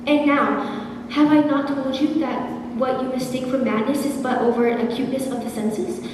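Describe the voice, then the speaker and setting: A teenage girl playing a brooding mad murderer in a gothic horror stage monologue, with halting, broken phrasing. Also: high voice, steady tone, close to an everyday voice. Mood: intense, uneasy. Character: brooding, chuckling-hearted mad murderer recounting a night vigil. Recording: distant echoey hall, loud background noise.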